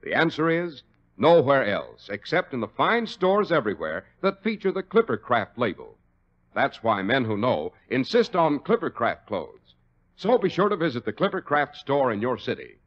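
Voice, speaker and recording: A man's voice: mid-range voice, very animated, normal speed. A man with an American accent announcing in the polished scripted style of 1940s radio. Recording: muffled vintage radio recording.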